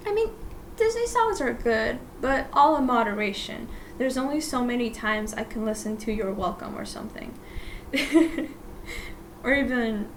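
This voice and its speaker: A woman, a native Russian speaker, chats on her own podcast in a fairly high voice. She rambles, apologizes for herself and laughs softly between thoughts, with a faint hiss of noise underneath. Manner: rambling and self-deprecating, often laughing softly; chatty, full of "like" fillers and restarts. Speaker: podcast host. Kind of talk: casual solo podcast chat.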